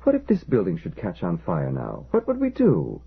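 A frightened, hesitant middle-aged storekeeper, as a male narrator puts him on, pleading and insistent, his voice rising high and swinging wildly as his worry grows. The voice sounds husky, and the recording is dull and lo-fi. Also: wildly swooping pitch, moderate pace, full character voice.

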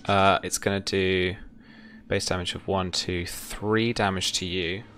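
A man's voice: low voice, flat delivery, a little slow, a bit bright, a little hiss or hum. A young man running a tabletop game as game master, narrating and talking rules in a casual, hesitant way. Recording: music behind the voice.

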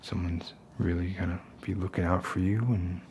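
A middle-aged man acting an unstable, falsely gentle survivalist in a soft-spoken ASMR roleplay, rambling haltingly. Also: deep voice, fairly even intonation, slight background noise, lightly acted. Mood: tender, earnest. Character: unstable, falsely gentle self-appointed protector, survivalist loner.

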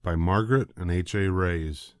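An older man narrating a children's storybook in a deliberate, expressive way. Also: low-pitched, no echo, studio quality. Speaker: storybook reader.

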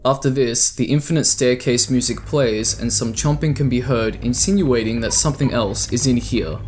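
A man's voice: medium pitch, moderate pace, a little mellow, slight background noise. Man narrating a scripted video essay.

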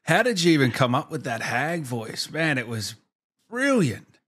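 A man's voice: medium pitch, sing-song, normal speed, a bit bright, husky. A man in his thirties hosting a casual interview from a home studio, enthusiastic and complimentary, speaking into a desk microphone. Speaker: interviewer, host. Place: small home studio, indoors. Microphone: close desk microphone, with headset.

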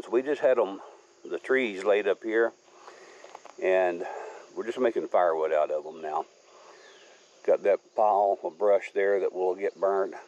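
A man's voice: fairly low pitch, warm tone, a little hiss or hum. A man rambling casually as he films a walk around his wooded homestead. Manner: easygoing, rambling, folksy. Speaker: homesteader showing his land. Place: outdoors on rural wooded land. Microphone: handheld camera. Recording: slightly dull outdoor camera audio. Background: crickets and insects.

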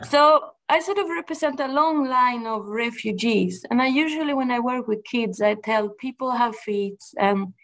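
Gravelly-voiced woman with a Spanish accent. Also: on the high side, expressive, poor audio. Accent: Argentine Spanish. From Argentina. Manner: thoughtful, halting with frequent restarts and fillers. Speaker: gardener and project manager giving a talk. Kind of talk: personal talk to an audience.

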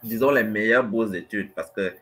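A man's voice: fairly low pitch, normal speed. A man in his twenties with a Cameroonian accent, explaining things informally as a guest on a webcam call. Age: 20s. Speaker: guest, medical student. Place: indoor room. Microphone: webcam video call. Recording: noisy webcam call audio.

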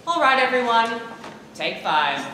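Harried musical director played by a woman, bright and insistent, live school stage comedy in a reverberant hall. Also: on the high side, very animated, a little slow, full character voice. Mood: exasperated, reassuring. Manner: insistent, trying to keep order. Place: large theater stage, filmed from the audience. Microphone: far camera. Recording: echoey auditorium, faint noise.

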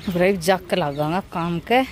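A woman's voice: on the low side, a little slow. A woman chatting casually while shopping and greeting neighbours in a village market and lanes, filmed on a phone. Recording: noisy outdoor phone recording with crowd. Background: market crowd and street noise.

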